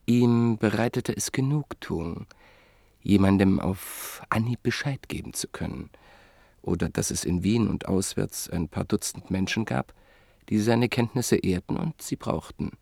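Slow, gravelly narrator with a husky voice. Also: male voice, fairly low pitch, darkish tone, no room sound, clean studio sound. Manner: measured and reflective, sinking to near whispers. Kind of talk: literary radio play narration.

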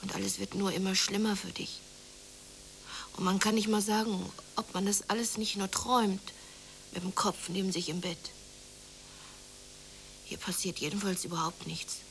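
A woman's voice: medium pitch, steady tone, moderate pace, creaky, pauses often, natural voice. An actress playing a despondent, worn-out mother with a brooding, exasperated manner in a radio play. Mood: despairing. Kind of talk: German radio play acting. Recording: noisy 1980s radio play recording.

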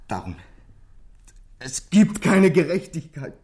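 Husky, troubled youth. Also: male voice, fairly high pitch, big pitch swings, darkish tone, full character voice. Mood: bitter, agitated. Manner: intense, halting confessional telling. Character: frightened, bitter teenage boy confessing family secrets. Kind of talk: German radio play acting.

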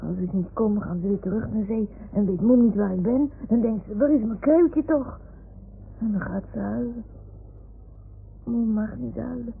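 Creaky-voiced, wistful little boy. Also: fairly high pitch, normal speed. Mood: anxious, stubborn. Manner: childlike, earnest, naive storytelling. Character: wistful, stubborn little boy talking to his toys. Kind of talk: radio play monologue. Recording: tinny, faintly noisy 1960s-70s radio recording.

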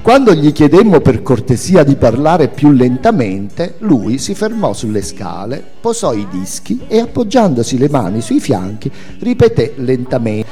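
A man's voice: medium pitch, sing-song, measured pace, hardly any pauses, mellow. Man reading expressively in a reverberant hall. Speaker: reader of a memoir. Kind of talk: expressive literary reading aloud. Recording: reverberant hall, faint music behind. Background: faint music.

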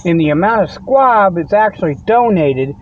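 A man's voice: sits high, animated, normal speed, lo-fi sound, a little hiss or hum. A man hosting a comedy talk segment, rambling folksy and laughing often while he talks into a tablet in a parked car. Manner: folksy, laughs often, keeps saying "okay?". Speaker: host of a comedy podcast segment. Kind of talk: rambling casual monologue. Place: in a parked vehicle in a parking lot.

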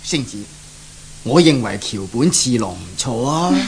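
A man's voice: medium pitch, expressive, unhurried, husky. An actor playing an indulgent middle-aged father in a 1970s radio drama, mild and placating, with a Hong Kong accent. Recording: noisy old radio recording.

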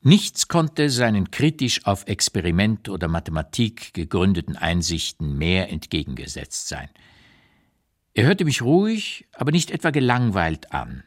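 An actor playing an elderly male writer looking back on his life, reading memoir prose in a measured, emphatic, carefully articulated way for a radio play. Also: sits low, sing-song. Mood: admiring, measured. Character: 85-year-old writer looking back on his exile years, reflective and candid.

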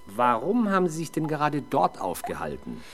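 A man's voice: fairly high pitch, huge pitch swings, moderate pace, fairly bright tone, full character voice. A man playing a sceptical, sardonic middle-aged police interrogator in a 1970s German radio drama, dry and needling.

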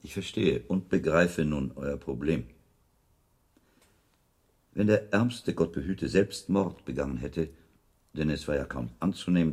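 A shrewd monk investigator in a radio drama. His voice is very deep and husky, and he sets out his deductions calmly and methodically, with deliberate pauses. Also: full character voice. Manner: deliberate, precise, logical phrasing with weighty pauses. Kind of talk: German radio drama acting, reasoned monologue.

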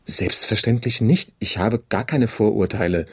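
An actor in a radio drama playing an officious, probing human resources manager with formal, insistent, measured diction. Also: male voice, fairly low pitch, breathy edge. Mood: defensive, insistent.

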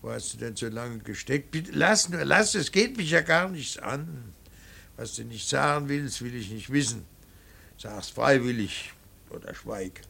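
An actor playing a stern, anguished middle-aged father in a radio drama, speaking in a deliberate, halting and emphatic way. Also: medium pitch, expressive, creaky, pushed and bright, slightly rough, full character voice. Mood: strained reproach. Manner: deliberate, halting, emphatic, swinging from muttered to pleading. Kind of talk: German radio drama acting.

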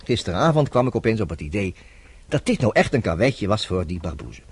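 A worldly, persuasive friend in a radio drama. His slightly low voice moves quickly and swings widely in pitch, with a wry, teasing way of explaining things. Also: sing-song, husky. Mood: explaining, confident. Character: worldly, self-assured man advising his troubled friend.

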